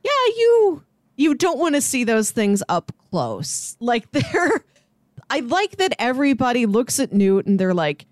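A woman with a fairly high voice chats casually as a podcast co-host. Her pitch moves around in a lively, animated way, and the sound is dry with no room to it. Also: measured pace, dry recording. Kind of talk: casual, animated chat about a film.

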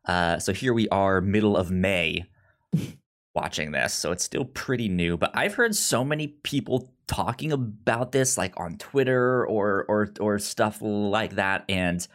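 A man's voice: on the low side, measured pace. A man co-hosting a casual movie review podcast, speaking enthusiastically and often repeating words as he thinks. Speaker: podcast co-host.

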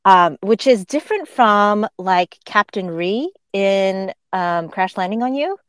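Woman podcast co-host, smooth clear voice, unhurried, casual chat, dry close sound. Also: mid-range voice, animated, fairly bright tone, no echo. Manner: chatty, laughs often, explains pronunciation with fillers.

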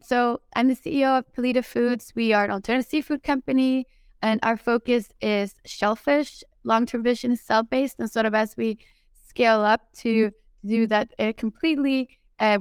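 A woman's voice: fairly high pitch, moderate pace, clear, resonant tone, no room sound. A woman with a Danish accent, a company chief executive, introducing herself on a panel in a friendly, self-deprecating way. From Denmark.

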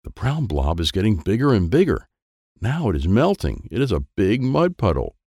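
A man voicing an excitable, wistful small boy who narrates a children's storybook in simple, wide-eyed phrases. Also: fairly low pitch, moderate pace, dry recording, studio quality, full character voice. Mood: wide-eyed wonder. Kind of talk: first-person present-tense children's storybook narration.

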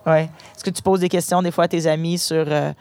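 A woman's voice: fairly low pitch, fairly even intonation, a little fast, darkish tone, clear, resonant tone, no echo. A woman with a Canadian French accent hosting a casual, chatty interview with schoolchildren and their principal. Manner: friendly, chatty, restarting phrases. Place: inside a parked truck.

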